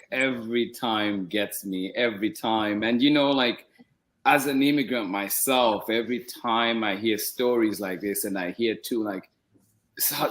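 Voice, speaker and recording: A man hosting a story time show, asking a guest loose, rambling questions full of fillers. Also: lowish voice. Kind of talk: casual interview.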